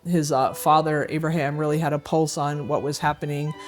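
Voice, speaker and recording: A man guiding a tour of a historic house, explaining slowly with many fillers and restarts. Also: fairly high pitch, level tone, faint music behind. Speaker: historic site guide. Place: indoors in a historic house, dining room.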